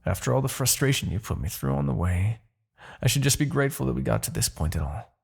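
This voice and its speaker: A man voicing an arrogant, brooding demon king in a fantasy ASMR roleplay, condescending and theatrical, with a husky, gravelly low voice and pauses. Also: lively intonation, full character voice. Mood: resigned. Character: arrogant demon king, gloating yet begrudgingly attached captor.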